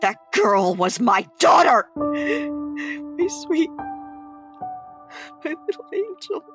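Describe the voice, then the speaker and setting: A woman's voice: high voice, very animated, drawn-out words, over music, exaggerated voice. An actress playing a grief-stricken Victorian mother, anguished and tearful, in a period audio drama. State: crying, anguished.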